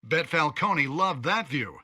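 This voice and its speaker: A man's voice: fairly high pitch, lively intonation, takes it slowly, sharp tone, slightly rough, no echo, clean studio sound, heavily acted. An actor playing a polished male TV news anchor with a wry streak in a video game, delivering a report. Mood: brisk, wry.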